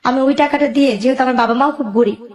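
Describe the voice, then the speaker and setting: Woman, Bangladeshi accent, personal storytelling, rapid delivery, quoted dialogue, roomy echo. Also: sits high, a little mellow. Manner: recounts dialogue by quoting both sides. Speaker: guest telling own life story. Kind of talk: emotional personal storytelling in conversation.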